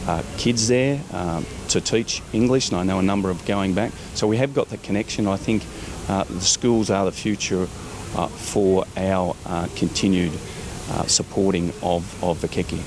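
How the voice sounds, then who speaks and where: An Australian man answers a news reporter, pausing on fillers and restarting his phrases as he goes. His voice sits fairly low. Steady outdoor noise runs under him. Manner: hesitant, many fillers and restarts. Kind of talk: halting interview answer. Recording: noisy outdoor interview.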